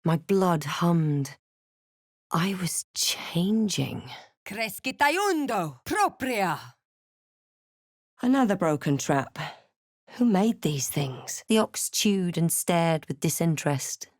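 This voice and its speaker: A woman voicing a snarky, brooding adventurer hero in a fantasy video game, deliberate and theatrical with dry wit. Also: fairly low pitch, big pitch swings, dry recording, heavily acted. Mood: incantation, intense, then wry, snarky. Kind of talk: fantasy video game voice lines, narration and barks.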